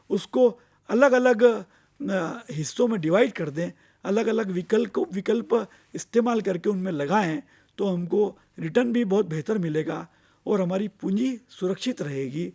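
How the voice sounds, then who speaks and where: Male finance educator, Indian accent, high-set voice with creak, deliberate explanatory delivery that repeats key points. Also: warm tone. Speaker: finance expert giving investor education. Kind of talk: explanatory lecture mixing Hindi and English.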